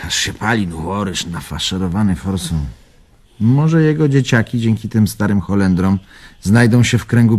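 An actor playing an old, carefree Polish antique collector, telling stories expressively in a radio drama. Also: male voice, on the low side, slightly rough, full character voice. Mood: wistful, chatty. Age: older. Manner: expressive, storytelling, old-world phrasing. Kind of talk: radio drama acting, reminiscing monologue.

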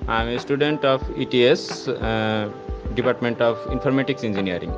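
A male student from Bangladesh introducing himself quickly with pauses. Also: mid-range voice, highs cut off, music in the background.

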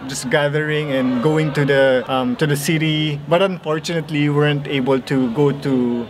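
A man in his thirties from the Philippines, hosting his own vlog in a relaxed, chatty way, recorded outdoors on a city street. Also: a little high, normal speed, faint music behind. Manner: chatty, relaxed, filler-heavy. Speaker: vlogger host. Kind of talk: casual vlog chat. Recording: outdoor street noise behind.

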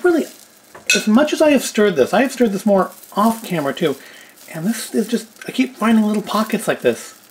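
A man's voice: high voice, animated, brisk, a little hiss or hum, rough recording. Dryly self-deprecating man, casual. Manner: dry, self-deprecating humour. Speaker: video host. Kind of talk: casual cooking and food review commentary. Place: indoors at home, kitchen and tabletop set.

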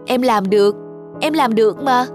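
A woman's voice: a little high, moderate pace, music in the background, lightly acted. A woman voicing a fragile but determined young wife in a cartoon, tender and pleading.

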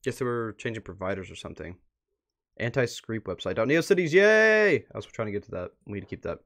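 A man with a fairly low voice hosts a livestream in a casual, chatty way. He talks as if to viewers and to himself, dropping muttered asides as he works through what is on his computer. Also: big pitch swings, a little fast, a bit bright. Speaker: livestream host. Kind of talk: casual livestream commentary, reading posts aloud. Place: indoors at a computer.

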